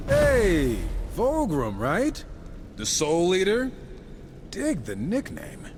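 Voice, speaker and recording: A man's voice: high-pitched, wildly swooping pitch, extremely slow, creaky, background noise, full character voice. An actor playing a wisecracking, impatient horseman in a game cutscene, sardonic, cocky and casual. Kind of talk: video game cutscene acting.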